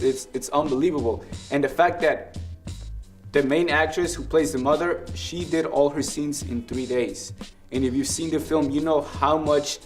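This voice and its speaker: A man's voice: mid-range voice, a little mellow. A young man with a Mexican accent hosting a casual film review, earnest and analytical about the film he loves. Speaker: film review show host.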